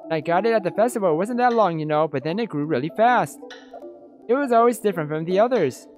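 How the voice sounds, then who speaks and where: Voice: medium pitch, expressive, fast, darkish tone, faint music behind, lightly acted. A let's play host voicing a wistful young woman in a visual novel. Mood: wistful, casual.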